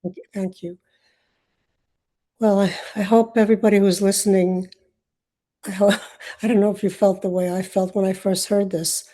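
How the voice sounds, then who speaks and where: An older woman born in Uganda, with Swahili as her first language, hosting an online video call and speaking in a reflective, halting way. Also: mid-range voice, level tone, warm tone. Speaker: host.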